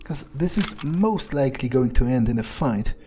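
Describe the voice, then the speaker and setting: A man's voice: medium pitch, animated, moderate pace, warm tone, slight background noise. A man giving in-character commentary on a game playthrough, musing casually and swearing freely as he goes. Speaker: gamer role-playing his character aloud.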